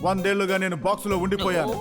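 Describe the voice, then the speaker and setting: A high-voiced, bossy cartoon ghost from colonial times played by a man. He speaks quickly and gives demands in an imperious manner. Loud music plays under the voice. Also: level tone, lightly acted. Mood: imperious, demanding. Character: bossy male colonial-era ghost freed from a box. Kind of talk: animated children's cartoon dub.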